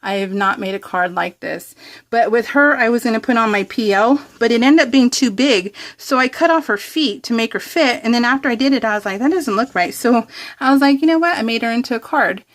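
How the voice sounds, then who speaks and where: Higher-voiced American woman, bubbly and chatty. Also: a little fast, fairly bright tone. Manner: bubbly, giggly, rambling and gushing. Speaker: craft vlogger hosting a scrapbook process video. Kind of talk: casual chat to viewers while crafting.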